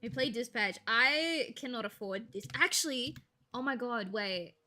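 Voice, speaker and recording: A young woman, chatting casually and rambling to viewers on a live stream, with a slight gravel in her voice when she talks quietly. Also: a little high, lively intonation, unhurried. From Australia. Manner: chatty, rambling, laughs easily, thinks aloud.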